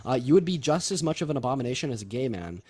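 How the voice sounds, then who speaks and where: A man's voice: mid-range voice, sing-song, very fast, darkish tone, husky. Combative, insistent man in a debate. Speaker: debate host. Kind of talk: heated informal debate.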